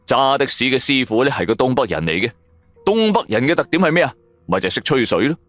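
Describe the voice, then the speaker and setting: A man narrates a supernatural radio serial in colloquial Cantonese. He tells it dramatically in the first person, his mid-pitched voice rising and falling widely. The sound is dry and close, with music underneath. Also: sing-song, fast talker, fairly bright tone, no echo. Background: background music.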